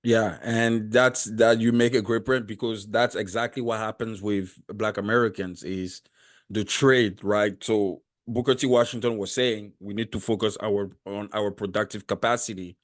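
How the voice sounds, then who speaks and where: A man's voice: on the low side, steady tone, normal speed. A man who learned English as a second language, hosting a podcast interview and arguing his points earnestly, often restarting his sentences. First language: not English. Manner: earnest, argumentative, building points step by step; frequent restarts and repeated words. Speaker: podcast host. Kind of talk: casual interview chat.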